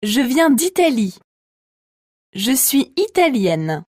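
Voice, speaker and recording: A woman voices practice phrases for a language course, her voice slightly high. She speaks slowly and pronounces each word with care, in a dry studio recording. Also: sing-song, darkish tone, no echo. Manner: clear, carefully articulated. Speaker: textbook audio voice actor. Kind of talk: slow scripted dialogue reading.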